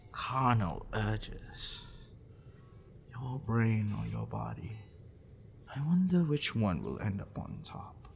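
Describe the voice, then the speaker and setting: Man acting a gravelly, sadistic, teasing professor. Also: on the low side, slight background noise, heavily acted. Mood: seductive, teasing. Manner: condescending, teasing, deliberate, dropping into breathy whispers. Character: possessive sadistic male professor, obsessive, teasing, smugly intellectual. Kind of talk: ASMR roleplay, addressing the listener intimately.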